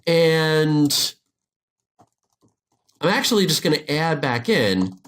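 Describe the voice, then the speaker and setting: Guy doing a software tutorial, pitch moves around a lot, informal explainer walking thru it step by step. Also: big pitch swings, slow. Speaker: instructor.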